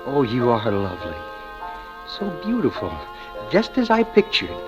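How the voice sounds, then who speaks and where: Smitten American clerk, muffled old radio. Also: male voice, mid-range voice, huge pitch swings, measured pace, over music. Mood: dreamy. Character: smitten, obsessive male bank clerk telling his story. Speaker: narrator and lead actor. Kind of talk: 1940s radio drama narration.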